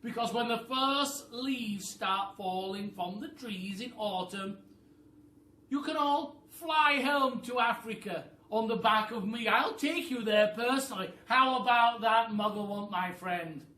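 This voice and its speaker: A man voicing a clever, boastful and reassuring big bird in a children's story, with an emphatic, drawn-out delivery. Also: high-pitched, pushed and bright, pitched above the performer's own voice. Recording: roomy, noisy, distant microphone.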